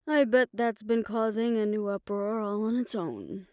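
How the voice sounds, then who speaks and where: A woman playing a weary, proud aging fixer talking softly and wryly to her lover in an intimate roleplay audio drama. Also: mid-range voice, normal speed, dry recording, clean studio sound, lightly acted. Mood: wry, confiding.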